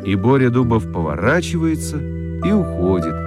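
A man narrating a children's radio story expressively, with dramatic, deliberate emphasis. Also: mid-range voice, big pitch swings, over music.

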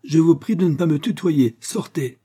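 Man performing a little club official, storybook figure, prim, formal, affronted, higher-pitched, firm. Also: animated, moderate pace, warm tone, slightly rough. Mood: stiff, affronted. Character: small red-haired club official, breathless, prim, affronted.

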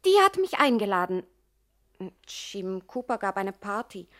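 A woman's voice: mid-range voice, big pitch swings, a little slow, fairly bright tone. A woman playing a gangster's coquettish, devoted young girlfriend, pleading and wheedling in a 1960s radio crime comedy. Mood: defensive, plaintive.

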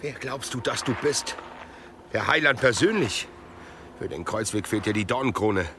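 An actor playing a gruff, sarcastic monk in a German radio drama, blunt, agitated and incredulous. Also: male voice, medium pitch, expressive, moderate pace, background noise, lightly acted. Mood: scornful, alarmed.

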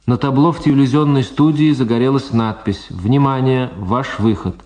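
A man giving scripted narration for a radio drama in a plain, measured storytelling manner. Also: medium pitch, a little mellow. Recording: clear buzzing background noise.

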